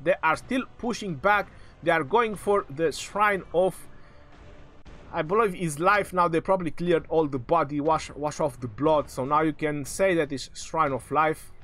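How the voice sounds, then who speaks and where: A man calling a strategy game match live, in excitable, joking play-by-play, his voice going hoarse. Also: on the high side, moderate pace, soft background music.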